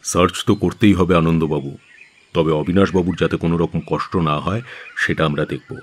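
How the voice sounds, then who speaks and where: A man's voice: deep voice, steady tone, moderate pace, husky. A man playing a polite, apologetic but insistent police inspector in an audio drama, speaking confidentially.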